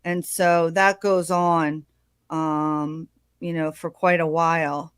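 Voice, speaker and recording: A woman's voice: low voice, level tone, slow, clear, resonant tone, no echo, clean studio sound. A woman doing casual true crime commentary in a hesitant way, with many ums and uhs.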